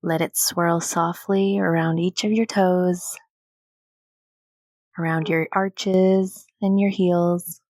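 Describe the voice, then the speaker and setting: A woman leading a guided meditation in a gentle, soothing, unhurried manner with long pauses between phrases. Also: on the low side, steady tone, no echo, clean studio sound.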